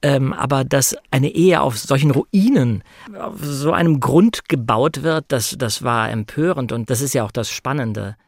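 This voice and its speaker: Guy talking movies in a thoughtful way, bit of creak in the voice, really dry sound. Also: mid-range voice, unhurried, no echo. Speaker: guest on a film discussion podcast. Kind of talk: conversational film and novel analysis.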